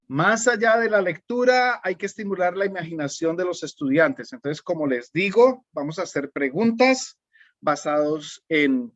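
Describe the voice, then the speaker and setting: A middle-aged Colombian man gives a language-teaching webinar in a relaxed, unhurried way. His voice sits a little high for a man. The sound is dry and dull, like a basic video-call mic. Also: no room sound. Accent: Colombian Spanish. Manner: calm and unhurried, often checking in with the listener. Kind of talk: webinar presentation.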